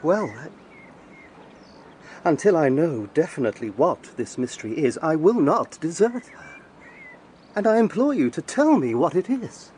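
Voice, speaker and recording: An actor playing an earnest, persistent young gentleman lawyer in a period audio drama, speaking formally. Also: fairly high pitch, big pitch swings, unhurried, long pauses, slight background noise. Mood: insistent. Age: young adult. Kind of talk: British period audio drama, narration and dialogue.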